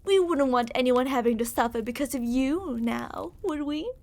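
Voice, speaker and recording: High-voiced, smug yandere royal captor played by a woman. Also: normal speed, a little mellow. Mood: threatening, condescending. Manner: condescending, theatrical, mock-affectionate. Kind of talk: ASMR roleplay acting.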